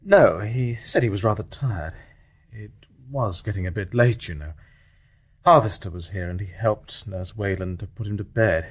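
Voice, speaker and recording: An actor playing a restrained, affectionate young English gentleman in a period radio drama, polite and understated. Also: fairly low pitch, normal speed, breathy edge. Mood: subdued, evasive. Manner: polite, understated, well-bred. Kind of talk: stage-play radio drama acting.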